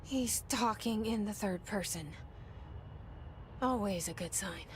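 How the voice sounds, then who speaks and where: A woman's voice: medium pitch, slow pace, slight background noise, close to an everyday voice. A woman playing a determined, wry younger woman in a video game, guarded and intense in short clipped phrases. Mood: wry, frustrated. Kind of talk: video game acting, dialogue and inner monologue.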